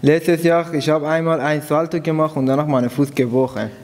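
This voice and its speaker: A man's voice: fairly high pitch, steady tone, darkish tone. Man from Iran, echoing hall. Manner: simple non-native German, halting phrasing. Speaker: church member giving testimony. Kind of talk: personal testimony in short phrases, pausing for an interpreter. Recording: long hall reverb.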